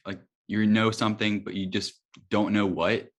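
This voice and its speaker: A man playing an anxious superhero newcomer, speaking haltingly in a scripted table read. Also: on the low side, flat delivery. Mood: worried, hesitant. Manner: halting, unsure. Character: anxious, hesitant young male superhero newcomer.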